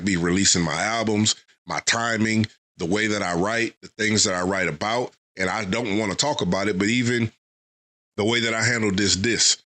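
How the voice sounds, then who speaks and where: Guy with a head cold talking through an album review, kinda low voice, rambling with lots of "you know what i'm saying". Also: a bit bright. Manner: loose, thinking aloud with many fillers. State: getting over a head cold. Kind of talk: casual reaction commentary.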